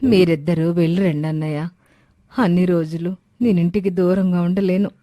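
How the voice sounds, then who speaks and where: A woman's voice: on the low side, steady tone, moderate pace, warm tone, no echo, lightly acted. A woman voicing a sorrowful, weary and bitter bereft woman in a moral story. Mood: subdued. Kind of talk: Telugu moral story character acting.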